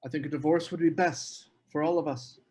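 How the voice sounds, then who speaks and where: A man playing a brooding, bitter novelist in a screenplay table read, with measured, deliberate phrasing. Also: fairly high pitch, fairly even intonation, darkish tone, husky. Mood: cool, deliberate. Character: brooding male novelist, cool and bitter in marriage.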